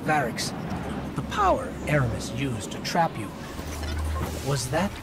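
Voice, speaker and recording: Brisk, wary robot companion. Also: male voice, medium pitch, wildly swooping pitch, creaky, heavy background noise, full character voice. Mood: questioning. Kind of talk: video game character acting. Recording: loud game music behind.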